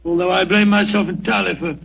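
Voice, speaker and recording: High-voiced, blustery English Doctor Watson. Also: male voice, fairly even intonation, fast, creaky, crowd noise. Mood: glum, resigned. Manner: stammering, blustery. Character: Doctor Watson, flustered, loyal, easily amazed middle-aged English gentleman. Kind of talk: 1940s radio drama acting. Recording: dull, noisy 1940s radio recording.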